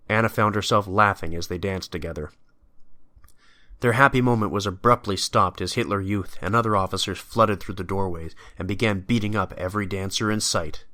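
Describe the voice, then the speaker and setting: A man's voice: fairly low pitch, bright, forceful tone, dry recording, studio quality. A man narrating a scripted audio drama.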